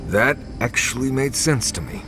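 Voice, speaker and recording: A man's voice: mid-range voice, lively intonation, normal speed, music in the background, close to an everyday voice. A man voicing a dry, irreverent adventurer in a video game, wry and offhand. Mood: wry, amused.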